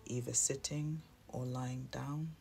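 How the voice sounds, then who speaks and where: Hushed guided meditation voice, super slow w long pauses, really flat and soothing, bit of music behind. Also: mid-range voice, creaky. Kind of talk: guided meditation, scripted narration. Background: soft instrumental music.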